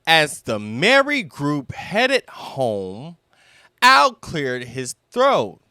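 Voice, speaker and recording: A man reading children's stories aloud with expressive, deliberate, emphatic phrasing. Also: a little high, dry recording, clean studio sound. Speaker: storybook reader. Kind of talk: children's read-aloud narration.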